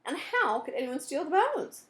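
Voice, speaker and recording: A woman's voice: high voice, very animated, moderate pace, reverberant, pitched above the performer's own voice. A woman voicing a bold, eager young girl detective in a children's storybook, speaking emphatically. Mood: determined. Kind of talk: children's storybook character dialogue.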